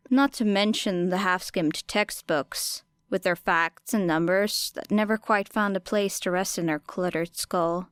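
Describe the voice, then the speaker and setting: A woman reading fiction aloud as an audiobook narrator, with an expressive, wry and dramatic delivery. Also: medium pitch, clean tone, dry recording, studio quality.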